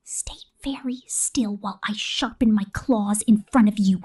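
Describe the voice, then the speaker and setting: A woman voicing a sadistic, possessive killer robot in an ASMR roleplay, breathy and often whispering, with a menacing, taunting, drawn-out delivery. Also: mid-range voice, dark tone. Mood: quietly menacing. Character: sadistic, possessive female killer robot drone toying with prey.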